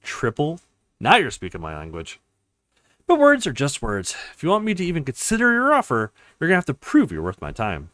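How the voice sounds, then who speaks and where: A man's voice: on the high side, huge pitch swings, fairly quick, fairly bright tone, no echo. Man voicing a cocky female mercenary in a game. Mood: haughty, bargaining. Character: cocky, mercenary retired female fighter, taunting and haughty.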